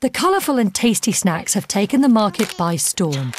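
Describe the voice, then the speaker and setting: A woman's voice: medium pitch, sing-song, moderate pace, a little mellow, a little hiss or hum. A woman reading scripted documentary narration as the narrator of the film. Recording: music under the narration. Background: background music.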